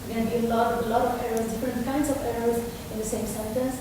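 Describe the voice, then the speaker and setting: A woman in the audience thinks aloud, tentative and searching for words. Her fairly high voice sounds far from the microphone in a very echoey room. A low rumble runs underneath. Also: little pitch movement, quick pace. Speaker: audience member, task participant. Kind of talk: conference Q&A comment. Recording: distant voice, very echoey room, noise.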